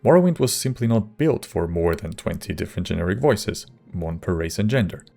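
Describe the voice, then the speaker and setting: Low-voiced man narrating a video essay. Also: lively intonation, a little fast, vocal fry, dark tone, faint music behind.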